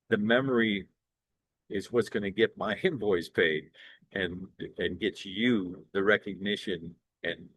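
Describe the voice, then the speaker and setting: An older man from Cleveland with a bass baritone voice, pitching his work as an event singer in an upbeat, earnest way. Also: fairly bright tone, no echo, poor audio. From Cleveland, Ohio, USA. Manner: upbeat, earnest salesman talk with small restarts. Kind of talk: promotional direct-to-camera pitch.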